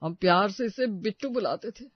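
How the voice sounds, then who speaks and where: A man's voice: high-pitched, normal speed, no echo, dull audio. A man playing a fretful, earnest older uncle in a comic family story. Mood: sheepish, explaining.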